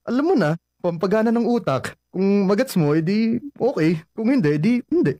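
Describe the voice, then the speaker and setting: Evasive, lovesick ex-boyfriend. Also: male voice, high voice, expressive, moderate pace, dark tone, dry recording. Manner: evasive, laughing things off. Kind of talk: Filipino radio drama acting.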